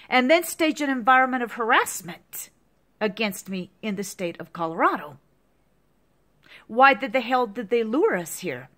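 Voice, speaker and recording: A woman's voice: fairly high pitch, fairly bright tone. A woman in her fifties in an agitated, repetitive rant to the camera, heavy with profanity. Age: 50s. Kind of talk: angry monologue to camera.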